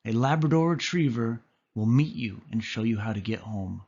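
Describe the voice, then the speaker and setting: A man's voice: on the low side, lively intonation, moderate pace, gravelly, a little mellow, breathy edge, exaggerated voice. Man as a friendly farm collie, storybook read-aloud, kindly, reassuring, somewhat dull recording. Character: friendly, helpful adult collie farm dog.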